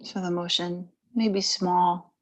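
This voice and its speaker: A woman leading guided relaxation and gentle yoga, speaking in short, soothing, unhurried phrases with long pauses between them. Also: fairly low pitch, fairly even intonation. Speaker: yoga nidra and stretch instructor.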